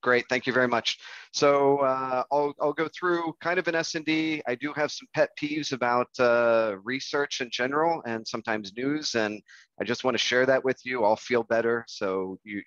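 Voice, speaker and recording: A man's voice: medium pitch, fairly bright tone, no room sound. An American man in his forties giving an informal business presentation on a video call, conversational and self-deprecating. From Kansas City, United States. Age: 40s. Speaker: coffee industry research presenter. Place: indoor room, on a video call. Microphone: video call microphone. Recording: dull, compressed video call audio.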